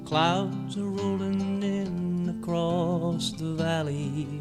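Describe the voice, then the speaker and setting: A man performing as a gentle, lonesome singing cowboy, crooning a western campfire ballad. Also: sits high, little pitch movement, drawn-out words, exaggerated voice. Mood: wistful. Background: acoustic guitar.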